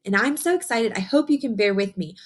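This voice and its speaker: A woman teacher reading a picture book aloud to young children, enthusiastic and encouraging, with expressive phrasing and simple questions for her listeners. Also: fairly high pitch, a little fast. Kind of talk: read-aloud lesson addressed to young children.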